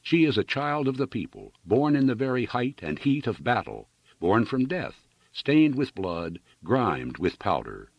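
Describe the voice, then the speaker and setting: A fiery young male orator acted out by an audiobook reader, a man declaims in grand, emphatic phrases. The voice is husky and swoops widely in pitch, on a slightly dull recording. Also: big pitch swings, unhurried, no room sound, heavily acted. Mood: impassioned. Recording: dull lo-fi sound.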